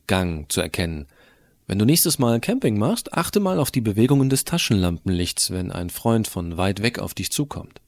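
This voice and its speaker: Guy playing a cheeky techy teen rebel narrating an audio drama, lowish voice, dry close sound, chatty and wry. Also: no echo. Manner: colloquial, wry, explaining directly to the listener. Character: cheeky, rebellious tech-savvy teenage schoolboy narrator.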